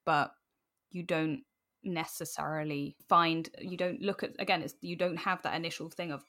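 A British woman talking casually as an interview guest, animated and self-deprecating, often acting out bits of imagined dialogue. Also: sits low, moderate pace. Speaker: podcast guest.

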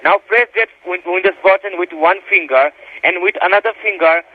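A man's voice: sits high, brisk, dry recording. A man giving repetitive, insistent step-by-step instructions as a tech support caller, addressing the listener as sir over a phone line. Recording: tinny phone line with background noise.

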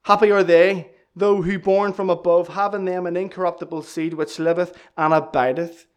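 Earnest young preacher. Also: male voice, sits high, little pitch movement, normal speed, smooth. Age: 20s. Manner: earnest, repeats key lines for emphasis. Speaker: lay preacher giving testimony. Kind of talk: church testimony and sermon.

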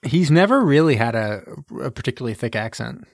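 A man's voice: medium pitch, big pitch swings, fairly quick, vocal fry, dry recording. Joking male podcast host, dry sound. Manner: joking, laughs often, steers the talk. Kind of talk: casual movie podcast chat.

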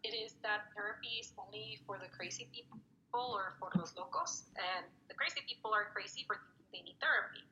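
A woman's voice: medium pitch, a little fast, bright, forceful tone, a little hiss or hum, low-quality recording. A woman in her thirties from Mexico, a native Spanish speaker, answering interview questions thoughtfully with frequent fillers and restarts. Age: 30s. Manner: reflective, with many fillers and restarts. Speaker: poet and student advisor.

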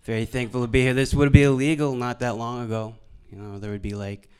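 A young man from Alberta, doing stand-up storytelling on a stage microphone in a deadpan, halting, self-deprecating way. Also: medium pitch, clean tone. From Alberta, Canada. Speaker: stand-up comedian. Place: live venue stage before an audience.

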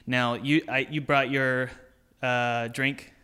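A man's voice: medium pitch, flat delivery, slow, sharp tone, clear, resonant tone. A man hosting a podcast, chatting casually and enthusiastically with his guest. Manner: enthusiastic, chummy, says dude. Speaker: podcast host. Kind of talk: casual interview chat.

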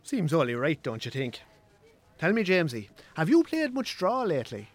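Man acting as a smug, high-voiced Irish gambler. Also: huge pitch swings, moderate pace. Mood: pushy, genial. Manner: mock-friendly, needling. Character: smug, goading Irish poker-table ringleader. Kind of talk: naturalistic radio drama acting.

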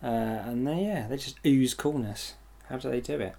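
A man's voice: medium pitch, moderate pace, vocal fry, mellow. A man co-hosting a music show, bantering and laughing between tracks in a loose, tipsy way. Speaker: radio show co-host.

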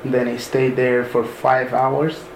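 Gravelly man in an echoey room. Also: medium pitch, level tone, measured pace. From Sudan. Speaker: interviewee. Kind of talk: casual personal recollection. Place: room in a mosque. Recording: echoey room with ambient noise.

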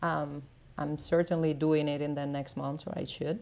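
A Spanish woman with a Spanish accent, a native Spanish speaker, chatting as a guest in a casual interview, self-deprecating and quick to laugh. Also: low voice, little pitch movement, gravelly, a little mellow. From Spain. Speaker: artist and researcher guest.

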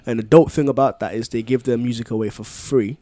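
A man's voice: medium pitch, steady tone, fast talker, warm tone, dry recording. Man, casual slangy podcast chat, dry sound. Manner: enthusiastic, slangy. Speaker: podcast co-host.

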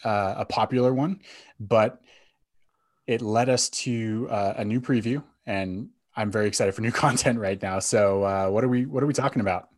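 Male podcast host, low register, dry close sound, casual and a bit halting. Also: no echo. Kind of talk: casual film and game podcast chat.

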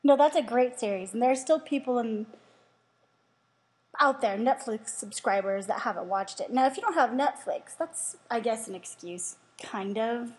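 A woman's voice: fairly high pitch, moderate pace, husky. A woman co-hosting a casual chat, teasing and wry with self-deprecating jokes. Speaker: co-host.

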